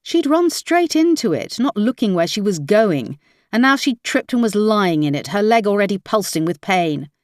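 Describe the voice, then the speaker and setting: A woman's voice: mid-range voice, very animated, normal speed, no echo, clean studio sound. A woman reading a crime novel aloud as scripted audiobook narration.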